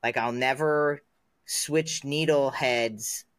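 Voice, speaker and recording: A man's voice: medium pitch, fairly even intonation, takes it slowly, fairly bright tone, no echo. A man in his thirties, a podcast guest chatting casually and rambling with many "like" fillers. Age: 30s. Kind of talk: casual chat.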